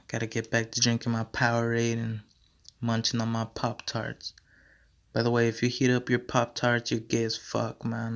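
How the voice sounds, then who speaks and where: A young man hosting his own podcast, rambling in a slangy, repetitive way and going hoarse as he talks. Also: mid-range voice, fairly bright tone. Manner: slangy, repeats himself, many fillers. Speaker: podcast host. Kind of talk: casual rambling monologue.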